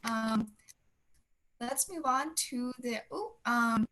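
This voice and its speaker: Woman host on a video call, kinda high voice, friendly, lots of ums. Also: slow, bright, forceful tone, poor audio. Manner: friendly, reads out questions with filler ums. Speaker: webinar host. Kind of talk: hosting a Q&A.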